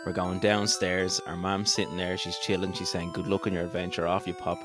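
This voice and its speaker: A man's voice: low-pitched, level tone, brisk. A man co-hosting a podcast, chatting casually and with enthusiasm. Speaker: podcast co-host. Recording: music with guitar clearly behind.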